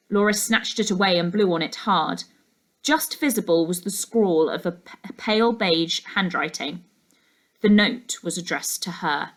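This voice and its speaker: Female, mid-pitched, expressive and measured story narration, classroom-reader feel. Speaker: teacher reading a class novel aloud.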